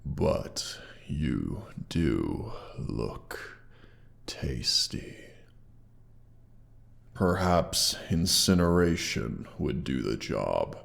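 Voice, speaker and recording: Very deep, slow, gravelly dragon. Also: male voice, exaggerated voice. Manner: slow, deliberate, haughty menace with archaic wording. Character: menacing, proud, hoard-guarding injured male dragon. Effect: processed monster-voice effect. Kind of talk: scripted roleplay audio monologue.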